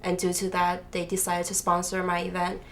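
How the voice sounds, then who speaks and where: A woman's voice: fairly low pitch, completely flat delivery, normal speed. A young adult woman from Taiwan answering questions as an interview guest, explaining her work. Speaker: interview guest, student fashion designer. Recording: noisy room, clear background noise.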